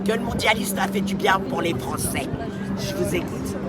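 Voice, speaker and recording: A woman's voice: mid-range voice, moderate pace. Hectoring woman at a noisy street protest. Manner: insistent, hectoring, repeats demands. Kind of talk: heated street argument. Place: city street, outdoors. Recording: distant voice, loud crowd and music.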